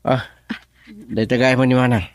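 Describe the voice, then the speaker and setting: An actor playing a sentimental suitor in an Ilocano radio love drama, speaking dramatically with an Ilocano accent. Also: male voice, mid-range voice, little pitch movement, moderate pace, dry recording, studio quality, lightly acted. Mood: polite.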